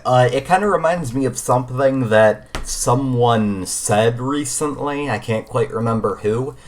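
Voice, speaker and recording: A man co-hosting a podcast in casual conversation, feeling his way toward each point in a halting manner. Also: medium pitch, slight background noise. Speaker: podcast co-host. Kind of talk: casual chat about games and films.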